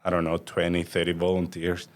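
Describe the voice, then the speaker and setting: Male guest, thirties, Honduran accent, deep voice, casual unhurried storytelling with halting phrases. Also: completely flat delivery. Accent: Honduran Spanish. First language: Spanish. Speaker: podcast guest, restaurateur.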